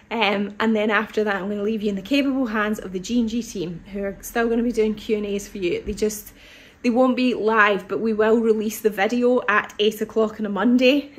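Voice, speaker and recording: A woman in her thirties hosting a live sewing question session from her fabric shop, chatting casually with lots of ums and restarts, her voice a little hoarse from a cold. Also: mid-range voice. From United Kingdom. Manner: chatty, thinks aloud with many ums and restarts. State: slightly hoarse with an oncoming cold. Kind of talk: casual live-stream chat answering viewer questions. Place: fabric shop floor with fabric bolts and dress forms, indoors. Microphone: phone or webcam at desk distance.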